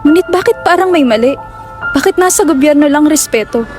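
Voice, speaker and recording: A woman's voice: sits high, lively intonation, fairly quick. A woman with a Filipino accent playing a sorrowful 65-year-old narrator looking back in a scripted radio drama. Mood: indignant. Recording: music bed under amateur radio drama.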